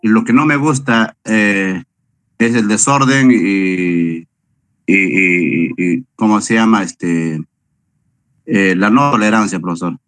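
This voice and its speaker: A man's voice: fairly low pitch, takes it slowly, a bit bright. A man student in an online class, apologetic and trailing off while searching for words. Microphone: video call.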